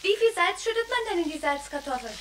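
Precocious chatty little girl, high lively voice, old kids radio play, bit noisy vinyl. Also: fast. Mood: curious, breezy. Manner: precocious, breezy, theatrically polite. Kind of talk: 1960s German children's radio play acting. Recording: noisy 1960s vinyl record.

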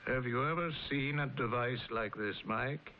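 An actor playing a wealthy, enigmatic male tycoon with a deliberate, philosophical manner in a 1950s television drama. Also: mid-range voice, noisy background, natural voice. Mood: musing. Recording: muffled 1950s television soundtrack.

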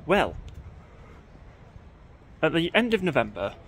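A man, vlogging excitedly from a railway platform. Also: on the high side, slow pace. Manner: chatty, excitable, talks to camera while watching trains. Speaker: train-spotting vlogger. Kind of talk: casual vlog commentary. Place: outdoors on a railway station platform. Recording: outdoor station noise behind the voice. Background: passing trains and station ambience.

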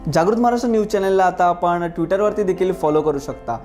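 Male announcer, fairly high voice, scripted promo, light music underneath. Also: fairly quick, a little mellow.

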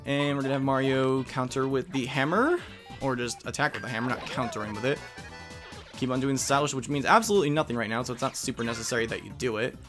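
A man's voice: medium pitch, fast. A man hosting a let's play, chatting and explaining as he commentates over gameplay. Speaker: let's play host and video game commentator. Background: video game music.

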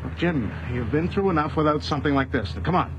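An actor playing a brash, reckless, joking buddy in a flippant, breezy, naturalistic radio drama style. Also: male voice, fairly high pitch, animated, quick pace, dull audio, lightly acted. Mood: impatient, dismissive. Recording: noisy 1980s radio drama recording.

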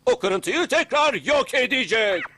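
A man's voice: very high-pitched, lively intonation, a little slow, bright, forceful tone, dry recording, heavily acted. A man voicing a small scheming bug in a children's cartoon, a theatrical, persuasive, bossy showman. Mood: showy, scheming. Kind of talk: animated children's cartoon acting. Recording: cartoon music behind the voice.

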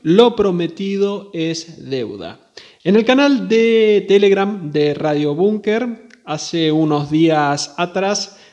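A man's voice: sits high, a little mellow, lo-fi sound. A man hosting a hobby channel, giving a casual, chatty, informal product demo. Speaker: host of a radio hobby channel.

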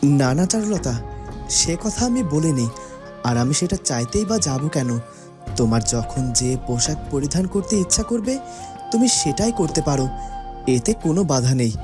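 High-voiced gentle young prince played by a man. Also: animated, moderate pace, over music, natural voice. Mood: gentle, hesitant.

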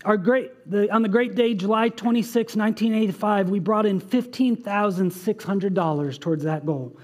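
A man in his thirties delivers a sermon from a church stage in a fairly high voice. He speaks earnestly, reading a passage aloud and breaking off for casual asides. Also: moderate pace. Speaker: pastor. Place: church stage with band behind.

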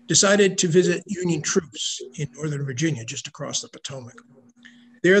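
An older man giving a prepared slide lecture on history over a video call, reading in a measured way. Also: fairly high pitch, husky, poor audio. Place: indoor room at home, video call. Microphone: video call microphone.

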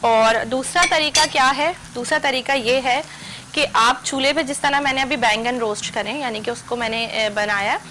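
A woman's voice: sits high, normal speed, noisy background. A young woman, hosting a TV cooking demonstration from a studio kitchen and walking viewers through each step. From Pakistan. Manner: instructive, addresses viewers directly. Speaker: cooking show host.

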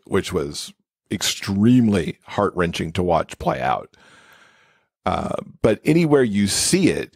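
A man's voice: deep voice, darkish tone, slightly rough, no room sound, clean studio sound. A man speaking as an expert podcast guest, explaining things in casual interview talk.

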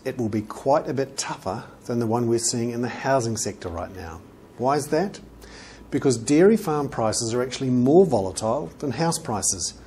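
A middle-aged man with a New Zealand accent presenting an economic commentary to camera in measured, emphatic phrases with deliberate pauses. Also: mid-range voice, dark tone, a little hiss or hum. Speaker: financial journalist presenting an economic report. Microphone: lapel mic.

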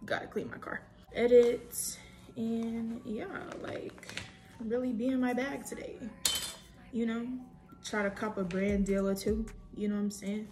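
A woman's voice: a little high, unhurried, roomy sound, music in the background. Chatty young woman vlogging from her kitchen. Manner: chatty, slangy, animated, talks while cooking. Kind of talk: casual vlog chat to camera. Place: home kitchen, indoors. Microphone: camera on a tripod at a distance.

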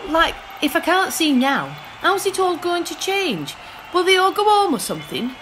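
A woman's voice: very high voice, big pitch swings, normal speed, fairly bright tone, a little hiss or hum, heavily acted. An actress playing a naive, worrying young woman new to football in a radio play, plaintive and questioning.

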